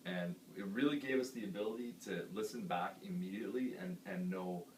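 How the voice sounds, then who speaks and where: A man who sings in a band, with a deep voice, chatting casually and earnestly about writing a song. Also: brisk, darkish tone. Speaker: band singer. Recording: echoey room, distant mic, faint hiss.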